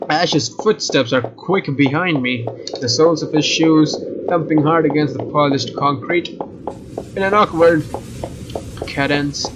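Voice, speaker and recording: A man's voice: fairly high pitch, a little slow, background noise. A man hosting a let's play, reading a visual novel's story narration aloud. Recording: dull, lo-fi microphone sound. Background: guitar game music.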